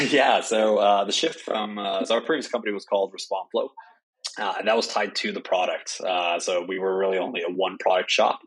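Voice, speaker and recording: A man's voice: sits low, fairly even intonation, fairly quick, a bit bright, slightly rough, poor audio. A man in his twenties from Norway, a startup CEO answering interview questions with frequent "uh" fillers. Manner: hesitant, many "uh" fillers.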